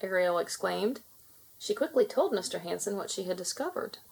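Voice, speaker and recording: A woman reads a children's story aloud with expressive delivery. Her pitch moves around in a lively way, and her voice has a husky, slightly creaky grain. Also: normal speed, low-quality recording. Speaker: storybook reader.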